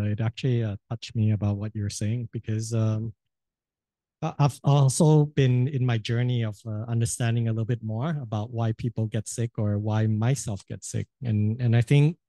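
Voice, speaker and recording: A man moderating a panel discussion, soft-spoken and halting, often repeating words as he speaks. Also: mid-range voice, dry recording.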